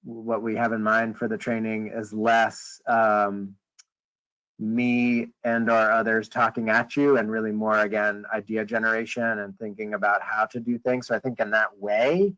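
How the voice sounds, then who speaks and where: A man leading a conference call, briefing informally in a hesitant, halting way and often rephrasing mid-sentence. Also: on the low side, fairly bright tone. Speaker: meeting leader on a conference call. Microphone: conference call line. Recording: dull, lo-fi conference call audio.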